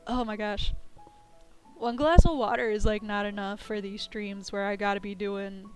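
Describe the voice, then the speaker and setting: A woman streamer chatting casually at the end of a live game stream, her voice scratchy and going hoarse, laughing often. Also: mid-range voice, fairly even intonation, normal speed, faint music behind.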